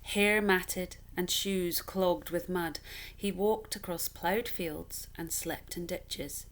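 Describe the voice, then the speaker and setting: A woman reading a children's story aloud in an expressive, measured, dramatic storytelling style. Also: on the low side.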